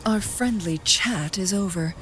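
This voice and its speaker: A woman's voice: mid-range voice, unhurried, over music, natural voice. An actress playing a composed, coolly polite woman in a game cutscene. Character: poised, cool adult woman strategist. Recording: game score underneath.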